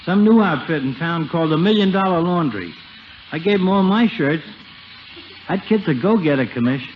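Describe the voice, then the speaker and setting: An actor playing a chummy, cocky small-town barber with slangy, joking patter in a 1950s radio comedy. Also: male voice, fairly high pitch, lively intonation, normal speed, lightly acted. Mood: chummy, breezy. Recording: muffled, noisy 1950 radio broadcast.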